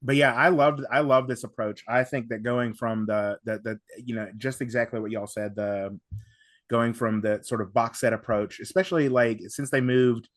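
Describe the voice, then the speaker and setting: A man's voice: medium pitch, steady tone, dry recording, studio quality. A man chatting casually as a podcast host, enthusiastic and often doubling back on his words. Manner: halting, repeating words, enthusiastic. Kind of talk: casual discussion of audio drama.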